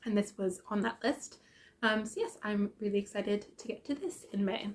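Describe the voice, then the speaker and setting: A young adult woman with a congested, croaky voice from a sinus infection, chatting casually about books with many ums and self-corrections. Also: mid-range voice, normal speed. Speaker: book vlogger. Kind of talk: casual book chat.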